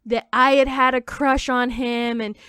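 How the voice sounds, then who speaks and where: A woman in her twenties chats on a podcast as a comedian guest, spinning stories with plenty of "like" fillers and quick jokes. Her voice sits a little high, smooth and clear. The sound is close and dry. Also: little pitch movement, bright, forceful tone, no echo. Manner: chatty storyteller, many "like" fillers, quick jokes. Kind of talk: casual podcast chat.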